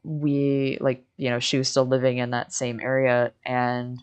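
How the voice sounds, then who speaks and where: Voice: medium pitch, flat delivery, measured pace, smooth. A young adult from Michigan with an American accent, chatting casually on a livestream in a rambling, backtracking way full of repeated phrases. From Michigan, United States.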